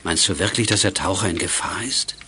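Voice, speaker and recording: An actor playing a calm, friendly guardian of children in a radio play. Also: male voice, deep voice, brisk, slight background noise. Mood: calm, doubtful.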